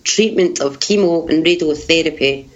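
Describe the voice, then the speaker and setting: Curt, dismissive male doctor, quoted by a woman: flat delivery, low-ish, lo-fi recording. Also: normal speed, natural voice.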